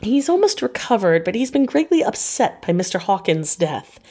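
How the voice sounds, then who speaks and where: A woman's voice: mid-range voice, sing-song, normal speed, a little mellow, husky, pitched above the performer's own voice. A woman voicing a polite, modest young married woman in an audiobook, with a demure, courteous and earnest manner. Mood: troubled.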